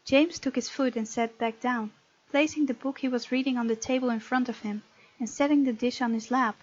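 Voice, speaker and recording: A woman's voice: fairly high pitch, steady tone, fairly quick, muffled recording. A woman from the Netherlands, reading a visual novel aloud as let's play narration with casual asides.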